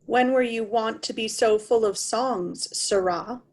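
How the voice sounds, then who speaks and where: Woman as aging shakespeare king, slow stately delivery, proud and easily affronted. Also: a little high. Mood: indulgent. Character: proud old king, bewildered and indignant. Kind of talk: Shakespearean stage reading.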